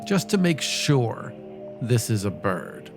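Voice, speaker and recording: A man with a medium-pitched voice plays a tabletop roleplaying game. He talks casually as a player, with music behind him. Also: very animated, slow.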